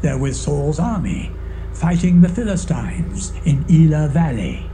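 An actor playing an old shepherd father in an audio Bible drama, halting and unhurried as he gives instructions. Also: fairly high pitch, very animated, mellow, a little hiss or hum. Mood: careful, fatherly.

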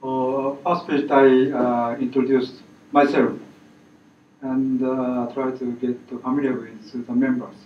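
Male participant, monotone halting answer, distant echoey pickup. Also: medium pitch, slight background noise. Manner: slow, halting. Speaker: workshop participant. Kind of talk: answering in a group discussion. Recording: dull, echoey, from far off.

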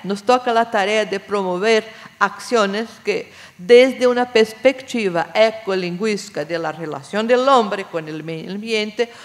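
A middle-aged woman, a native Portuguese speaker with a Brazilian accent, gives an academic lecture in Spanish. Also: mid-range voice. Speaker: plenary speaker. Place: large brick-walled university auditorium. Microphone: desk gooseneck microphone. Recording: long reverberant auditorium sound.

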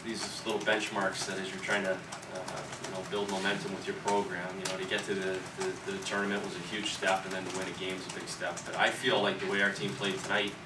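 A man's voice: sits low, little pitch movement, fairly quick, hardly any pauses. A man in his thirties, a college hockey coach answering questions at a postgame press conference, earnest and often repeating words as he starts his sentences. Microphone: table mic from the camera's distance. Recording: echoey room, far from the mic, loud noise.

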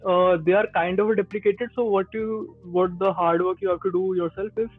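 A man's voice: high-pitched, steady tone, normal speed, no room sound. A man in his twenties with an Indian accent, giving informal advice as a guest in a video-call interview. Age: 20s. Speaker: podcast guest, Android developer. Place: small indoor room. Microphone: earbuds on a video call. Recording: tinny phone-like call audio, faint music.

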